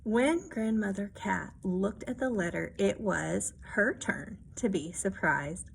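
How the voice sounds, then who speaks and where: A woman's voice: mid-range voice, expressive, slow, gravelly, slight background noise, poor audio. A woman reading a children's story aloud expressively, with simple explanations and questions to her young listeners.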